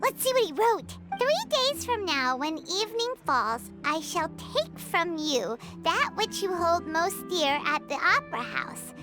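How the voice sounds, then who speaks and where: Casting: small cute mascot creature, video game. Piercingly high, squeaky, animated, cheeky and childlike. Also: female voice, a little slow, heavily acted. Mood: curious, reading aloud. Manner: cheeky, exaggerated. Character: tiny cute childlike companion creature, skeptical, food-loving and cheeky. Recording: loud music behind the voice.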